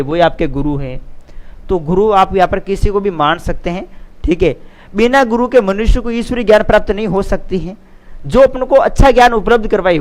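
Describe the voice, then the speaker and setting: A man teaching an exam lesson, reading out answers and explaining them informally, repeating phrases and checking that students follow. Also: sits high. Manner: didactic, repeats phrases, frequent check-in tags. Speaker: teacher, online exam coach.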